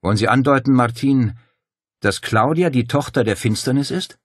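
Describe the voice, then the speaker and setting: A male reader plays a defiant, protective young man in an audiobook, loud and indignant. His voice jumps well above a calm register and has a rough, husky edge. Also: mid-range voice, normal speed, no echo, pitched above the performer's own voice, close to an everyday voice. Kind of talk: dramatic audiobook dialogue.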